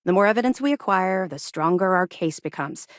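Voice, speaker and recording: A woman's voice: lowish voice, animated, fairly quick, dry recording, clean studio sound, close to an everyday voice. A woman acting a no-nonsense, guarded female officer in a space video game, matter-of-fact and dryly sardonic. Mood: businesslike. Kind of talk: scripted game dialogue, naturalistic acting.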